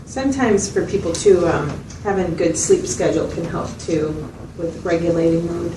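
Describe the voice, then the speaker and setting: A woman's voice: fairly low pitch, moderate pace, natural voice. A woman playing a patient mental health facilitator in a role-played client interview, asking checking questions and summing up with hesitant phrasing. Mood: calm, methodical. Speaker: clinician facilitator. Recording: roomy, distant mic, loud background noise.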